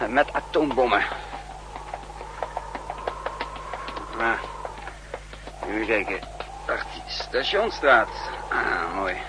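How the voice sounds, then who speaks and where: An actor playing a decisive, practical spy leader in a 1960s radio play, giving orders and explanations in a commanding manner. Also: male voice, fairly low pitch, lively intonation, slow pace. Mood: resolute. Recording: noisy 1960s radio drama recording.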